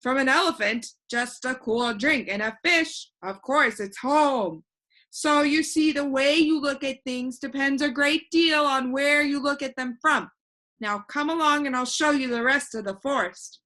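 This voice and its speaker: Cheerful storybook boy, played by a woman, proud and lecturing; high-pitched, crisp and bright. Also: measured pace. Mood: breezy, instructive. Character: cheerful, know-it-all young boy who floats in air. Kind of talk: animated children's storybook reading.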